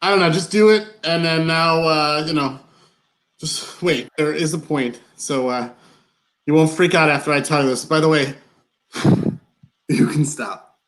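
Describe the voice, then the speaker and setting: A man in a live play reading, playing an awkward, nervous man who rambles through a confession in halting, over-explained dialogue. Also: a little high, fairly even intonation, fairly bright tone. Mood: nervous, evasive.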